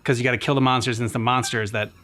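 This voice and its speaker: A man's voice: medium pitch, level tone, racing, fairly bright tone, slight background noise. A man hosting a reaction video, commenting casually on a game as it plays. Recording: game music underneath. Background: game music from the video being watched.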